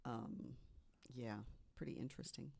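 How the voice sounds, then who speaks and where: A podcast guest in relaxed discussion, with a voice of medium pitch. The delivery is that of an earnest storyteller making a case point by point. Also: a little slow, creaky, husky.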